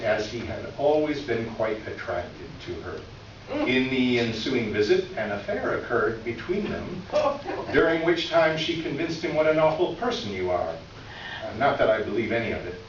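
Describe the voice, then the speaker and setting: A man's voice: medium pitch, lightly acted. A man acts out a wronged older male clerk close to retirement in a readers theater piece. He confesses by letter in formal, wordy, earnest sentences. The recording is very reverberant and a bit muffled, with light noise. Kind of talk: staged readers theater, reading a letter aloud. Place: live reading in a reverberant room. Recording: echoey room from far off, dull, noisy.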